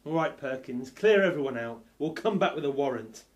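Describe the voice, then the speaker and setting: An actor playing a gruff, impatient male police inspector in an audio drama. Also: medium pitch, animated, brisk, natural voice. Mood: indignant.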